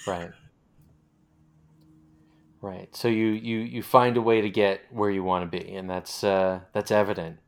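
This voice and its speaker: A man's voice: on the low side, steady tone, a little slow, pauses often. An American man hosting a conversational interview, talking in an encouraging, affirming and discursive way. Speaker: interview host.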